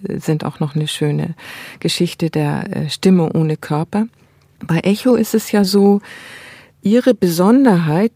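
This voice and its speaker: A woman talks as a scholarly interview guest. Her voice sits fairly low and has a dark, mellow tone. She explains ideas reflectively, recorded very dry with no room sound. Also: no echo.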